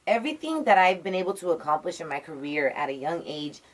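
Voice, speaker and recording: A young woman with a raspy voice, a radio personality chatting as an interview guest, emphatic and motivational. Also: lowish voice, big pitch swings, low-quality recording. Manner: emphatic, motivational, quick to laugh. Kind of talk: casual chat.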